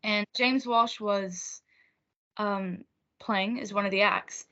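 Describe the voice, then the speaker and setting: A woman's voice: medium pitch, fairly even intonation, takes it slowly, bright, forceful tone. A teenage American woman from Oregon, a singer chatting casually as an interview guest and laughing often. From Oregon, USA. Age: teens. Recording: dull lo-fi audio.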